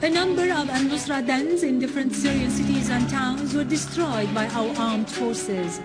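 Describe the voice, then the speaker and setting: A woman news presenter reading a scripted bulletin in a formal, measured way. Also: high voice, loud music behind the voice.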